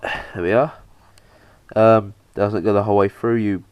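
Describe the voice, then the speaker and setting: A young man with a slight cold, narrating a PC hardware install in a halting, casual way with lots of fillers. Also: lowish voice, steady tone, clean tone, no echo, highs cut off. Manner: halting, many fillers, talks while working. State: has a cold, slightly bunged up. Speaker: PC hobbyist showing a hardware install.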